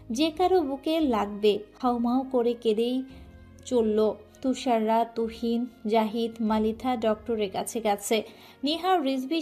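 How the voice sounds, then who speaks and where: Mellow-voiced woman narrating a dramatic story. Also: fairly high pitch. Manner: emotive, dramatic reading with occasional restarts.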